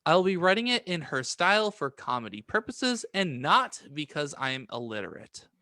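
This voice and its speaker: A man's voice: on the high side, sing-song, moderate pace, pushed and bright, no room sound, studio quality. A man co-hosting a podcast, chatting casually and sometimes reading notes aloud. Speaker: podcast co-host.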